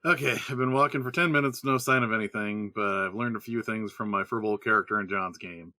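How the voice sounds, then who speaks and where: A man's voice: lowish voice, brisk, pushed and bright, no room sound, clean studio sound. A man playing himself in a comedic audio skit in a matter-of-fact way, with hesitant asides. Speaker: podcast cast member playing himself.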